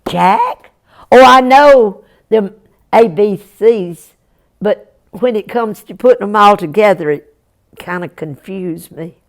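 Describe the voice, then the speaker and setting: A woman's voice: medium pitch, expressive, slow pace, voice pushed up. Woman doing an earnest farm boy. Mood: earnest, humble. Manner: drawling backwoods talk, humble and polite.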